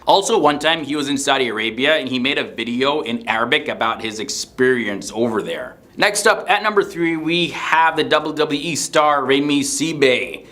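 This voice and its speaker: A man with a bright, forward voice hosts a countdown list video. He talks in a lively, conversational way and drops in casual asides between the entries. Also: mid-range voice. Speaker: host.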